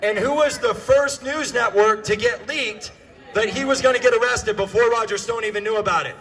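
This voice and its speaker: High, forceful American man giving a rally speech. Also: moderate pace, soft background music, rough recording. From United States. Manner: emphatic, repeats key phrases for effect; projected, often shouting. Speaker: political activist addressing a rally.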